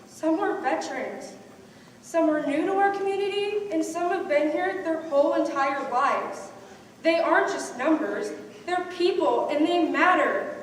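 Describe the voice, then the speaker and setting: A woman's voice: sits high, measured pace. A woman on stage playing a solemn, compassionate reporter of a community's losses. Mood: mournful. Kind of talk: scripted stage monologue. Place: on stage in a large hall. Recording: echoey hall from far off, faint noise.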